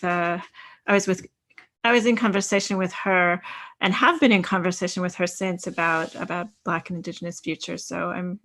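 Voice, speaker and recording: A woman's voice: on the low side, fairly bright tone. A woman from Canada, a writer and academic, introducing herself as a guest on an online panel.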